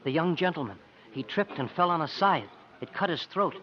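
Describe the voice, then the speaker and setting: A man's voice: fairly high pitch, lively intonation, normal speed, lightly acted. An actor playing an earnest, admiring boy, a loyal and polite sidekick, in a 1950s radio comedy-drama. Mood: earnest, urgent. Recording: dull old radio broadcast.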